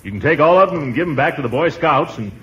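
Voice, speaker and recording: An actor playing a pompous, blustery middle-aged uncle in 1940s radio comedy style. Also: male voice, a little high, expressive, quick pace, muffled recording, close to an everyday voice. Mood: grumpy. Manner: blustery, theatrical delivery. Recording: noisy vintage 1940s radio recording.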